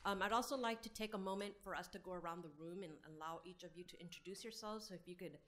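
A woman with a slightly low voice facilitates a public meeting at a podium microphone. She sounds like a semi-formal program host making introductions, with faint noise in the room. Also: fast, a bit bright. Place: government board chamber, indoors. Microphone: gooseneck podium microphone.

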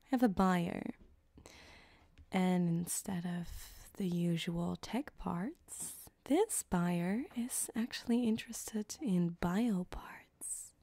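Woman acting a soft, slow, flirty cyberpunk back-alley surgeon woman. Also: on the low side, dry recording. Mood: coaxing, sly. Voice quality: soft, breathy, often slipping into whispers. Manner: teasing, unhurried, intimate, giggly. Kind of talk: ASMR roleplay. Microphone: close binaural microphone, dry.

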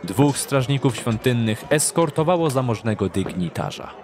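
Guy narrating a fantasy audio drama, mid pitched voice, music clearly playing behind. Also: lively intonation, moderate pace, a little mellow. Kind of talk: scripted dramatic story narration.

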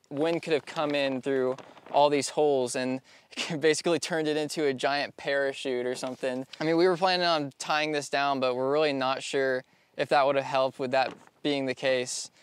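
A man's voice: mid-range voice, fairly even intonation, moderate pace, smooth. A young man in his twenties, chatting casually outdoors on a rural property as a DIY vlogger, easygoing and laughing often. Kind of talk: casual DIY vlog talk. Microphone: lapel or on-camera mic.

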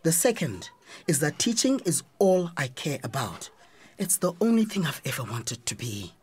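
A South African woman playing a proud, pleading transgender schoolteacher with a husky voice in a radio drama. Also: sits low, very animated, normal speed, darkish tone, heavily acted. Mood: proud, earnest. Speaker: actor.

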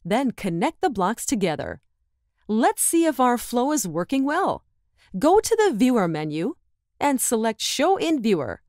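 A woman narrates a scripted tutorial, giving step-by-step instructions. Her pitch moves up and down in an animated way. The recording is dry, as from a close voice-over microphone. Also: measured pace, no echo.

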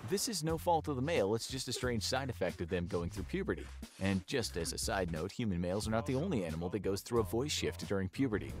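A man giving scripted educational narration in an even, explanatory way, played from a video. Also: lowish voice, fast talker. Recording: played video with faint music.

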